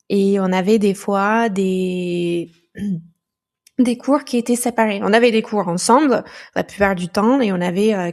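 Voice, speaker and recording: A woman from the United States, a podcast guest talking through her experiences in a thoughtful, halting way with frequent restarts. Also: medium pitch, a little mellow. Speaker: podcast guest, founder of a training company. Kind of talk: casual podcast conversation.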